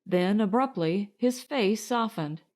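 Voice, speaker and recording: A woman narrating a short story as an audiobook, in a measured, deliberate storytelling manner. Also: medium pitch, lively intonation, a little mellow.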